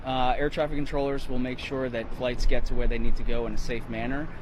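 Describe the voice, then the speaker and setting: Male news interviewee, mid-range voice, clear traffic ambience behind. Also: mid-range voice, little pitch movement, brisk. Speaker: air traffic controller interviewee. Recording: outdoor ambience with vehicle noise.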